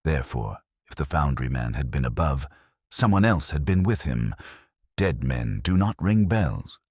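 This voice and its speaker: Very deep-voiced man narrating a detective mystery. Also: big pitch swings, a little mellow, breathy edge, no echo, studio quality. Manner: measured, literary storytelling in the first person. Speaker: audiobook narrator.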